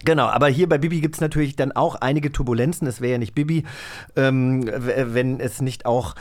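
Male podcast host with a medium pitch, casual storyteller, playful and conversational. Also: fairly quick.

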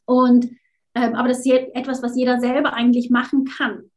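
Give me a woman guest on an interview show, talking casually with a fairly high voice and frequent restarts. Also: completely flat delivery, brisk, a little mellow. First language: not German, unsure of her German. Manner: chatty, restarts words, mixes in English phrases.

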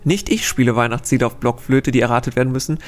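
A man's voice: mid-range voice, quick pace, faint music behind. A man hosting a casual quiz podcast, reading out riddles and wandering into chatty, self-correcting asides.

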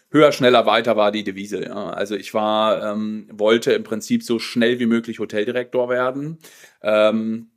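A man in his forties talks about himself as a guest in an interview. His voice is strong and commanding at a middle pitch, and he speaks reflectively, often pausing and repeating words as he searches for the next thought. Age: 40s. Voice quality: loud, commanding voice. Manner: reflective, halting with frequent pauses and repeated words. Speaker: podcast guest, personal development coach. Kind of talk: personal storytelling in an interview.

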